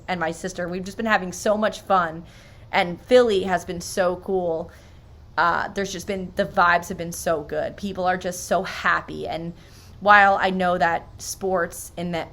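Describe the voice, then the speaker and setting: An American woman with a slightly low voice talks like a vlogger sharing her own experiences. Her delivery is informal and sincere, mixing everyday storytelling with spiritual reflection. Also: fairly bright tone. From New Jersey, United States. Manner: informal and earnest, frequent "like" and "so".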